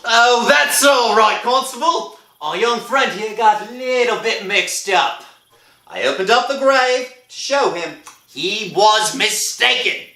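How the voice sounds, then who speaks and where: A man's voice: high voice, expressive, slow pace, bright, forceful tone, exaggerated voice. A man playing a wry, placating old gravedigger in a 1960s radio horror drama. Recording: old radio recording, echoey.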